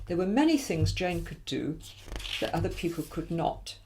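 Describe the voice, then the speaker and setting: A woman's voice: fairly low pitch, very animated, normal speed, warm tone, a little hiss or hum. A woman reading a children's storybook aloud expressively, with dramatic emphasis for young listeners. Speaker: volunteer story reader.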